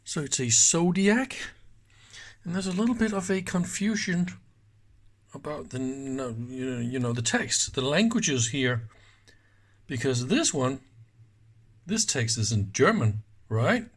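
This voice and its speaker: A man's voice: medium pitch, sing-song, a little slow, pauses often. A man giving casual hands-on commentary at an indoor workbench, thinking aloud informally with small exclamations. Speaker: host of electronics teardown videos.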